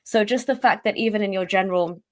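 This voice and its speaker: A woman's voice: mid-range voice, fast, a little mellow, no room sound. A woman guest on a live online talk show, friendly and rambling, thinking aloud as she answers. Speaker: guest, YouTuber. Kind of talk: casual chat, interview answers.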